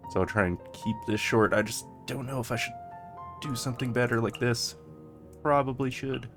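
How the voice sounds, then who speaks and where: A game streamer giving soft, casual gameplay commentary with hesitant, rambling asides. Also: mid-range voice, steady tone, moderate pace, over music.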